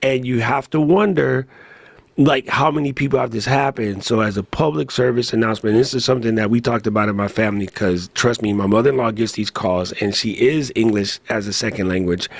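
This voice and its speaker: A man on a tech podcast panel, telling a story in an animated, slangy way. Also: on the low side, no echo. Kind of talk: casual chat.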